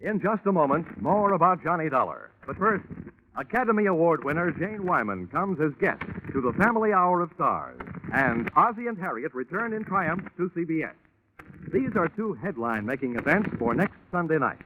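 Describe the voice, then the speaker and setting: A man's voice: a little high, very animated, normal speed, narrow, tinny audio. An American man announcing in a polished, emphatic, scripted 1940s network radio style. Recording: band-limited, noisy 1940s radio recording.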